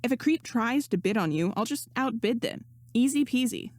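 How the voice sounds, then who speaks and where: A woman's voice: fairly high pitch, expressive, normal speed, no echo, pitched above the performer's own voice. A woman voicing a confident, breezy young woman in a story, teasing and sure of herself. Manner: teasing, assured, sarcastic.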